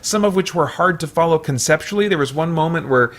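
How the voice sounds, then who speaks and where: A man giving a casual, unscripted movie review, thinking out loud in a rambling, hesitant way with repeated false starts. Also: on the high side, fast. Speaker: movie reviewer, video host.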